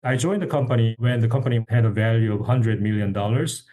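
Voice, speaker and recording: A man's voice: medium pitch, fast, mellow. A man from South Korea with a Korean accent, introducing his professional background as an interview guest. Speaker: podcast guest, company chief executive.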